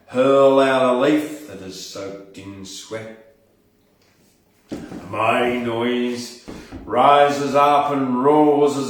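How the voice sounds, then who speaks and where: A man hosting a casual poetry reading, speaking in an unhurried, deliberate way. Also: mid-range voice, fairly bright tone. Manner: unhurried, deliberate, dramatic when reciting verse. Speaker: poetry podcast host and reader. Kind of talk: casual live poetry reading and event announcements. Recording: very echoey room, distant from mic.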